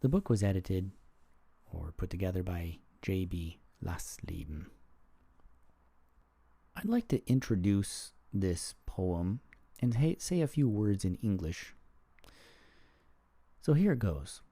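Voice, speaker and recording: A man with a low, deep voice hosts a podcast and talks reflectively. His tone is very dark and mellow. He weighs his words and sometimes stops mid-thought to find the right phrase. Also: lively intonation, takes it slowly, vocal fry, pauses often, breathy edge, dry recording. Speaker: podcast host.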